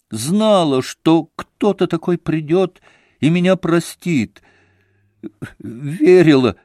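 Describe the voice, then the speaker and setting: A man's voice: mid-range voice, a little mellow, no room sound, studio quality, pitched above the performer's own voice. A man reading an audiobook, voicing a hysterical young woman who swings between fury and tears.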